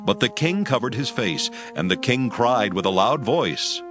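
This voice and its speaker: A man's voice: mid-range voice, expressive, a little slow, over music. A man narrating scripture from a script in a solemn, storytelling manner.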